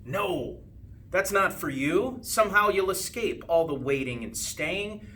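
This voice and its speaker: A man reading a rhyming children's storybook aloud with expressive, sing-song phrasing and drawn-out line endings. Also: high voice. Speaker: school principal reading a storybook. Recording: distant mic with faint background noise.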